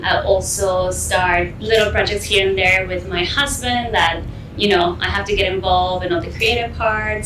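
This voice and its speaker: A woman in her thirties from Mexico, chatting in an upbeat, emphatic way with lots of "like". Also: mid-range voice. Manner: upbeat, emphatic, many likes. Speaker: podcast guest, consultant. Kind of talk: casual chat. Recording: echoey room, far from the mic, noisy.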